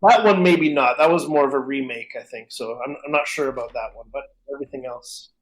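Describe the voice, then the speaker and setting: A man's voice: medium pitch, a little fast, a crowd in the background. A man chatting casually as a co-host on a podcast.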